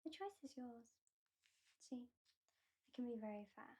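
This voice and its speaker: A British woman voicing a haughty female vampire who claims the listener as a pet, speaking slowly in a soft, teasing, condescending way that often drops to a whisper, close to the microphone. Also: fairly high pitch, lively intonation, slight background noise, close to an everyday voice. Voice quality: soft and breathy. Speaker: ASMR roleplay performer. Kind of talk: ASMR roleplay.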